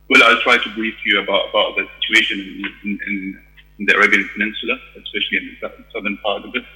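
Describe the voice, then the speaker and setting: A man giving a conference panel speech, measured and hesitant, with frequent fillers. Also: on the low side, bright, forceful tone, rough recording. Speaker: political panel speaker.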